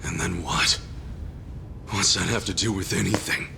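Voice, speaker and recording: An actor playing a righteous, loyal gangster hero in a video game cutscene, speaking in a blunt, earnest, accusing manner. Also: male voice, deep voice, steady tone, normal speed, vocal fry, noisy background. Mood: grim, challenging. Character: righteous, loyal gangster hero confronting his former young master.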